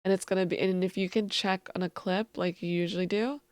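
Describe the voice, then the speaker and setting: A woman's voice: on the low side, fairly even intonation, a little fast, darkish tone, no room sound. A woman streaming live who says she just woke up and has a sleepy voice, chatting casually and thanking her viewers.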